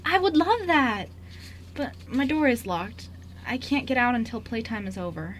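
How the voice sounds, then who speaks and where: A woman playing an eager, lonely five-year-old girl in an amateur radio drama, earnest and slightly halting. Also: on the high side, expressive, close to an everyday voice. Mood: delighted. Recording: noisy amateur radio recording.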